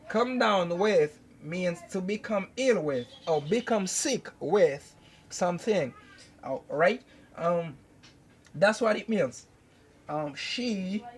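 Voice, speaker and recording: A young man teaching an English lesson at a whiteboard, slowly repeating each phrase several times. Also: high-pitched, lively intonation, slight background noise. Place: indoors at a whiteboard. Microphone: camera close by.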